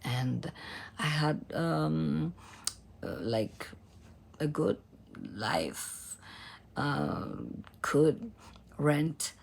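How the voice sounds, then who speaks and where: A woman in her forties from Argentina, with an Argentine Spanish accent, telling her own story in English in a strained voice that keeps breaking into whispers, halting and full of fillers. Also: low-pitched, expressive, vocal fry, breathy edge. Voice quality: broken, strained voice dropping into whispers. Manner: halting, many fillers and restarts. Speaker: former singer. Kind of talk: personal storytelling to camera.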